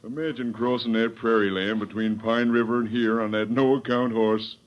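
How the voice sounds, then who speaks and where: A man's voice: medium pitch, steady tone, normal speed, natural voice. A man playing a crusty, grumbling stagecoach office boss in a 1930s radio western, with a folksy, irritable drawl. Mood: puzzled, scornful. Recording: dull, lo-fi 1930s radio recording.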